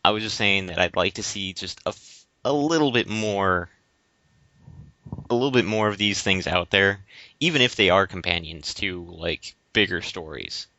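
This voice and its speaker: A low male voice with a tense, forceful edge, recorded dry and lo-fi. He chats casually as a podcast co-host, often groping for the right word and repeating himself. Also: moderate pace, no echo. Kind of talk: casual discussion of video games. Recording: dry but dull lo-fi recording.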